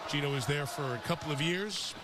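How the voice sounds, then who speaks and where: A man's voice: on the high side, brisk. A man with an American accent calling football play-by-play on a broadcast, mixing calls with storytelling. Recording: game broadcast audio under loud noise.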